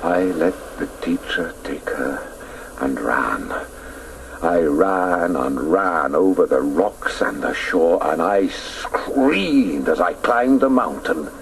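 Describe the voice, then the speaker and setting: An actor narrating a radio drama as a wistful grown man recalling his boyhood in a measured, reflective way. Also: low voice, animated, creaky, a little hiss or hum, exaggerated voice. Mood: urgent, haunted.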